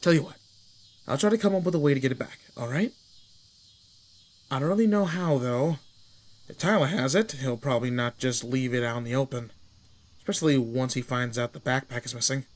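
A man playing a cocky, teasing teenage delinquent with a soft side, talking casually to the listener in a roleplay audio drama. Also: mid-range voice, brisk, pauses often, lightly acted. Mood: gentle, sympathetic. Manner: brash, casual, slangy teasing. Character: cocky, teasing teenage male delinquent, protective and sweet underneath.

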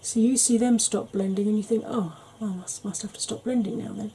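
A woman in her forties with a Welsh-tinged northern English accent, talking slowly and softly with wry humour while doing her makeup. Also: mid-range voice, fairly even intonation, slight background noise. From Wales and Yorkshire. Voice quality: soft-spoken, slow, wry. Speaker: makeup vlogger. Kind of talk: soft-spoken makeup tutorial chat.

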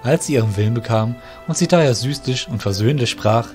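A man narrating an audio drama in a steady, measured way. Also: mid-range voice, faint music behind.

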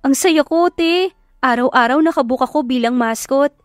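A chirpy, hardworking young Filipina, acted out by a woman narrator in an audio love drama. The voice is raised and energetic, recorded dry over music. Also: sits high, moderate pace, no echo, pitched above the performer's own voice, lightly acted. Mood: upbeat, determined. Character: bubbly, hardworking young woman mascot performer. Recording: background music under the voice.

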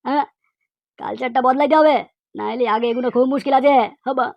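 A woman's voice: high voice, brisk, vocal fry, lo-fi sound, a little hiss or hum. A woman voicing an outspoken cartoon pupil, lecturing emphatically with repeated phrases and rhetorical questions. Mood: indignant, preachy. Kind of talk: cartoon comedy monologue.

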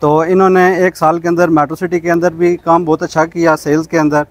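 A Pakistani man presents a promotional vlog in a fairly high voice. He is polite and salesy and drops English words into his speech. The sound is dry and close, from a lapel mic. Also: flat delivery, quick pace, no room sound. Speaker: host of a property channel. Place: outdoors in front of a small cafe storefront.

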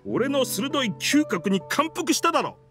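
An actor voicing a proud, dramatic talking pirate ship in an anime video game, in pompous, rough masculine speech. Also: male voice, very high-pitched, sing-song, measured pace, a bit bright, full character voice. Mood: boastful. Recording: music behind the voice.

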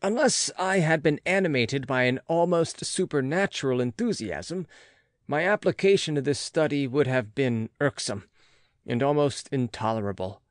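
Male audiobook narrator, mid-pitched voice, measured dramatic reading, formal literary style, dry recording. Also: no echo. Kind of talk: scripted literary narration of a gothic novel.

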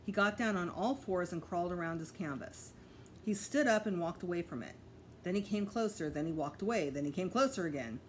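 Female storyteller, middle-aged, lively intonation, expressive read-aloud for children, slight room noise on a home recording. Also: on the low side, fairly quick, rough recording. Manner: careful, expressive reading with voiced dialogue. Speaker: teacher reading aloud to pupils.

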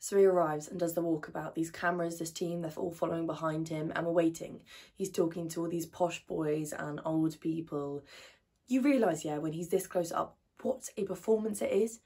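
A woman playing an outspoken, wounded 21-year-old idealist in a colloquial, emotional stage monologue, with a husky voice. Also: lowish voice, steady tone, moderate pace, mellow. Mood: wry.

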